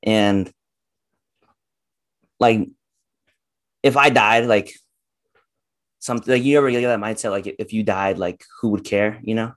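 A young man, nineteen and a guest on a podcast, with a voice on the lower side. He talks in a loose, halting way, full of "like" and "uh". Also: steady tone. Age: young adult. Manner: halting, lots of "like" and "uh". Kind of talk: casual podcast chat.

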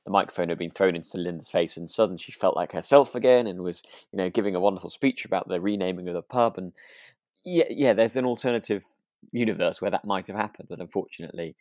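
A woman co-hosting a podcast in a relaxed chat style, agreeable and a little hesitant, correcting herself as she goes. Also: a bass voice, quick pace, no echo, studio quality. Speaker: podcast co-host. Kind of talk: relaxed conversational drama recap.

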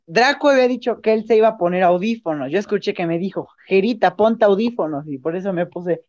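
A woman's voice: medium pitch, fast, a little mellow, muffled recording. A woman with a Mexican accent doing a casual, improvised interview in slangy, informal language.

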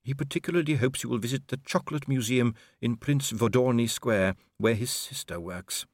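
A man's voice: medium pitch, normal speed, no room sound, pitched above the performer's own voice, natural voice. A man voicing a fussy, anxious diplomatic clerk in an audiobook, hesitant, careful and deferential. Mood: formally polite.

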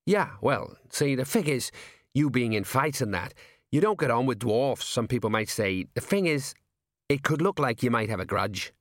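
A man's voice: mid-range voice, expressive, normal speed, no echo, studio quality, close to an everyday voice. A man voicing a nervous, placating middle-aged city watch sergeant who talks hesitantly.

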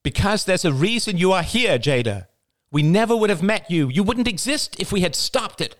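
A man voicing a caring mother who pleads and grows forceful. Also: sits high, lively intonation, normal speed, pitched above the performer's own voice. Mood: pleading, forceful.

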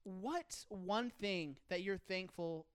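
A man's voice: sits high, slow, clear, resonant tone. A man from Texas hosting a podcast in a casual, joking, playful way. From Texas, United States. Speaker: podcast host. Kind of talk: casual chat.

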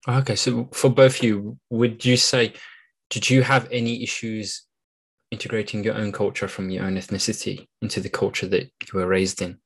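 A man's voice: on the low side, little pitch movement, moderate pace, vocal fry, slightly rough. A man hosting a podcast, reading a scripted guest introduction and then asking eager follow-up questions. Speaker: podcast host. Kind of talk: podcast interview.

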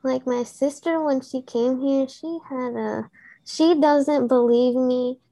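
Young female student, Urdu native, high voice, slow and careful delivery. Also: steady tone, mellow. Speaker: student guest. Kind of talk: casual chat.

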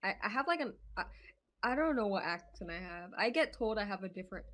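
Woman, 20s, podcast guest, hesitant, frequent pauses and restarts, mid-pitched voice. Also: animated, a bit bright. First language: English. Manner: hesitant, many ums and restarts. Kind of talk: casual interview chat.

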